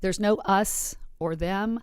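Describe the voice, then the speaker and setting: A woman from Arizona co-hosting a podcast, chatting in a relaxed and reflective way. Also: medium pitch, slow pace, clean tone, dry recording, clean studio sound. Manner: relaxed and reflective, reads a quote aloud. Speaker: podcast co-host. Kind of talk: casual podcast chat.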